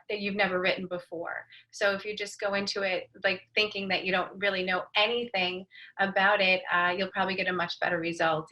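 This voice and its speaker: A woman's voice: mid-range voice, pushed and bright, rough recording. A woman speaking as an expert panelist, offering conversational advice. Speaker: panelist, brand strategist and writer.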